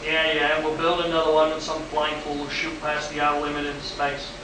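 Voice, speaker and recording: Guy as gruff worried older flight boss in a radio play, bit high pitched, far from mic, noisy. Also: monotone, a little fast, close to an everyday voice. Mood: weary, guilty. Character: aging male flight control chief, gruff, anxious, guilt-ridden. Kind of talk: amateur live radio play acting. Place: live stage at a convention. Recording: distant, echoey live hall recording with noise.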